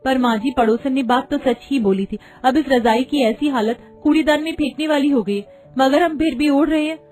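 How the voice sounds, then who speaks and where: Poor young cartoon bahu, played by an actress, high voice, hesitant and wistful, light music. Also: female voice, normal speed, a little mellow, lightly acted. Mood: ashamed, wistful. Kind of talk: cartoon story acting.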